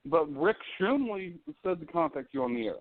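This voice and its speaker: A man puts on a polite corporate lawyer making a phone call. His slightly high voice is mock-serious and questioning, on a dry, narrow telephone line. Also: very animated, normal speed, no room sound. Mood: probing, insistent. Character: polite, probing man posing as company lawyer. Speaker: prank caller. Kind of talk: phone call to a radio show.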